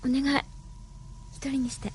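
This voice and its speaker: A woman acting a gentle, distressed young woman in a radio drama, pleading and feminine, with music behind. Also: normal speed, slight background noise, lightly acted. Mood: sad, troubled.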